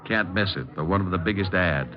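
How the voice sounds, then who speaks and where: An actor playing a breezy, cocky, wisecracking private detective in an old-time radio noir drama. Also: male voice, low-pitched, fairly quick, natural voice. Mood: cocky, wry. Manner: flippant, teasing, cocky. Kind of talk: 1940s-50s radio noir acting and narration. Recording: muffled old radio recording, faint music.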